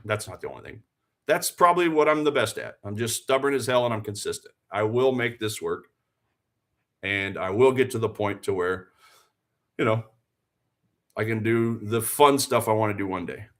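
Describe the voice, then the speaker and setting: A man's voice: mid-range voice, a bit bright. Fired-up man in his forties. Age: 40s. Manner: earnest and fired up, repeats phrases for emphasis, asks viewers rhetorical questions. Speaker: livestream trading host. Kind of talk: live-stream motivational chat and trading commentary.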